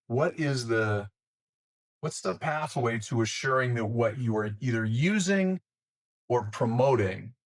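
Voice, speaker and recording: A man hosting an interview, asking long, deliberate questions with pauses between phrases. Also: mid-range voice. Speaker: interview host.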